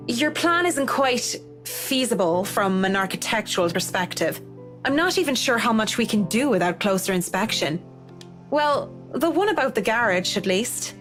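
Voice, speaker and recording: Young professional woman, polite, measured, somewhat high pitch, visual novel character, faint music. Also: fairly bright tone. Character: polite, businesslike young female interior designer. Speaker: game character. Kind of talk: dramatic visual novel voice acting.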